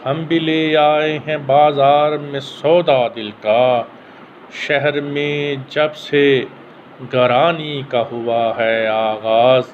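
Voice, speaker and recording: Male poet, Pakistani Urdu accent, mid-pitched, unhurried recital that repeats each verse; lo-fi, phone-like recording. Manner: repeats each verse, drawn-out measured delivery. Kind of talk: ghazal recitation. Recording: tinny phone-like sound with faint hiss.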